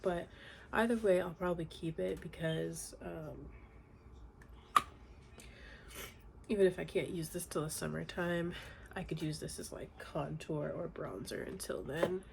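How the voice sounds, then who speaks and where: A woman's voice: on the low side, a little slow, gravelly, long pauses, noisy background. A young woman with a congested, nasal voice from a cold, chatting casually in a makeup tutorial at home. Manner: chatty, relaxed, many fillers. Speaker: beauty vlogger. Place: home, indoors.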